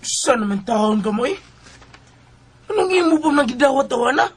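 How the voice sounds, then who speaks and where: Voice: high voice, animated, normal speed, a little hiss or hum. An actor with a Bisaya accent playing a resentful, defiant young son in a radio drama, accusing and emotional. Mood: bitter, accusing. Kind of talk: Philippine radio drama acting.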